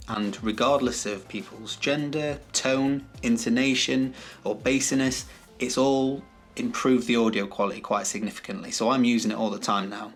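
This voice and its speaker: A man with a bassy voice, explaining a video-editing tutorial to camera in a chatty, self-deprecating way, recorded on a shotgun mic in a small room. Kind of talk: casual tutorial talk to camera. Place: small room with some echo. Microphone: shotgun microphone.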